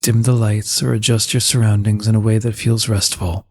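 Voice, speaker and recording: A man leading a slow guided meditation in a calm, soothing and unhurried manner, with a soft husky voice. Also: on the low side.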